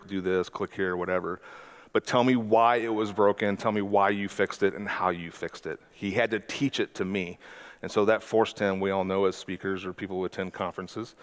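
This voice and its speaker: Lowish-voiced man in his forties presenting. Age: 40s. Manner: conversational, self-correcting presenter with frequent "uh". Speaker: mentor and conference speaker. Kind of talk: conference talk.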